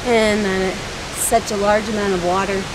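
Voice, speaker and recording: A woman's voice: mid-range voice, steady tone. A woman chatting casually as she hikes, recorded outdoors on a handheld camera. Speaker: travel vlogger. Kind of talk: casual chat while hiking. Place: outdoors on a forest trail. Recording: loud rushing-water hiss outdoors.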